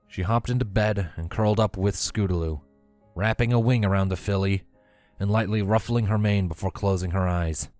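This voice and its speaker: A man's voice: lowish voice, darkish tone, no room sound. A man reading a fan fiction story aloud as scripted third-person narration.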